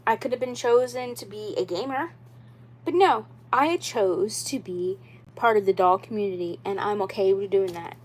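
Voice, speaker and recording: A woman's voice: medium pitch, normal speed, breathy edge. A young woman in her twenties hosting a casual livestream for her viewers. She talks in an earnest, insistent way, circles back to the same points, and fills her sentences with "like" and "you know.". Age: 20s. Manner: rambling and repetitive, with many "like" and "you know" fillers. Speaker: doll YouTuber.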